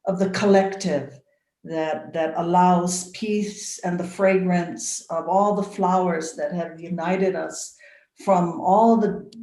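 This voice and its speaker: An older woman from Hawaii speaking on a video call. She gives a soft, prayerful blessing with long pauses and repeated phrases. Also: lowish voice. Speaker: invited speaker. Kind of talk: slow, prayerful spoken blessing. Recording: echoey room on a video call.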